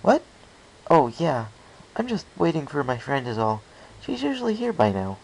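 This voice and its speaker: A man's voice: on the high side, very animated, moderate pace, gravelly, a little mellow, pitched above the performer's own voice, exaggerated voice, low-quality recording. A man voicing a distracted, casually polite young female pony in an audiobook story.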